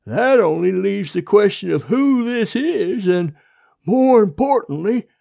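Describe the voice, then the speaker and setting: An older, stooped small-town doctor and coroner, played by an audiobook narrator. The delivery is gruff, dry and irritated, slow and pushed up in pitch with some creak. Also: expressive, mellow. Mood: matter-of-fact.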